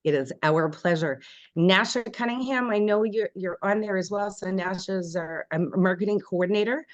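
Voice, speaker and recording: A woman's voice: mid-range voice, moderate pace, dry recording, lo-fi sound. A woman from Canada hosting an online information session, friendly and introducing each speaker in turn over a video call. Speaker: host.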